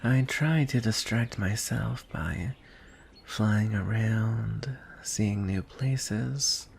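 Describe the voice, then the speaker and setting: Lonely, courtly dragon suitor acted by a man, fantasy ASMR; hushed, lowish creaky voice over faint noise. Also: exaggerated voice. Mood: wistful, longing. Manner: soft, hushed, breathy delivery with deliberate pauses. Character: lonely, courtly male dragon wooing a prince.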